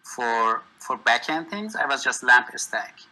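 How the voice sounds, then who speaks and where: Bright-voiced man. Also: mid-range voice, expressive, low-quality recording. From Iran. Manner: friendly, lists tech with short pauses. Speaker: software engineer. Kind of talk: casual networking chat. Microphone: video call.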